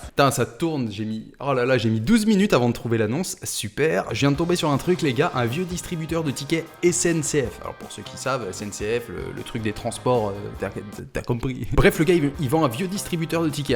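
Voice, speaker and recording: A man hosting prank calls in casual, slangy, rambling speech. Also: mid-range voice. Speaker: prank caller and video host. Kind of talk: casual prank-call chat and commentary.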